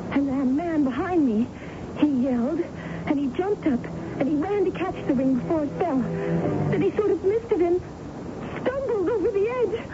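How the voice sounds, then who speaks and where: A woman's voice: extremely high pitch, animated, moderate pace. A woman playing a distraught young American woman, a daughter recounting a terror, in a radio drama. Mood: haunted, distressed. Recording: muffled, noisy vintage radio recording.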